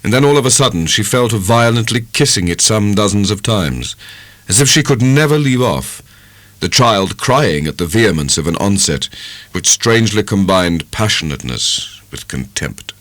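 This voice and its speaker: A man giving an expressive, measured narration of a literary audiobook. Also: lowish voice, no room sound.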